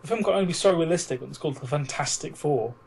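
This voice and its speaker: Higher-pitched man, sweary podcast banter. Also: expressive, very fast, creaky, darkish tone, slight background noise. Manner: sweary, joking, argumentative. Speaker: podcast co-host. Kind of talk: casual comedic banter about films.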